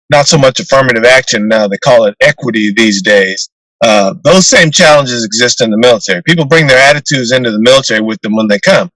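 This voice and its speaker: An older man with a deep voice, a retired naval officer answering interview questions in a measured way with fillers and restarts, over a video call. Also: a bit bright, dry recording, poor audio.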